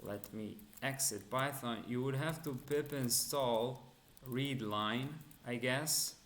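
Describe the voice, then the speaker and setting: A man's voice: mid-range voice, takes it slowly, a bit bright, slight background noise. A man from Romania hosting a live coding and security stream, talking casually with many fillers and restarts while he answers chat questions. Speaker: livestream host teaching cybersecurity. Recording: echoey room.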